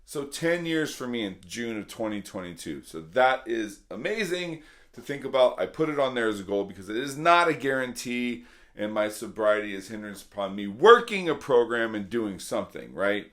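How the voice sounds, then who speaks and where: A middle-aged man with a slightly hoarse voice, talking earnestly and casually to his viewers as a vlog host, repeating points for emphasis. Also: medium pitch, very animated, moderate pace, fairly bright tone. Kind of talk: casual motivational talk to camera.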